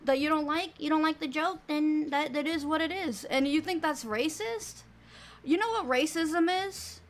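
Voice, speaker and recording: A woman's voice: high voice, normal speed. A woman from Cuba ranting to camera, emphatic and exasperated as she lectures her viewers. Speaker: online video creator in a played clip.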